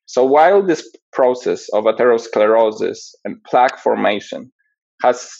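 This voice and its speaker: A man from Poland, a guest researcher explaining his imaging work in a measured, technical way with frequent fillers. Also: mid-range voice, creaky, a little mellow, breathy edge, no echo, dull audio.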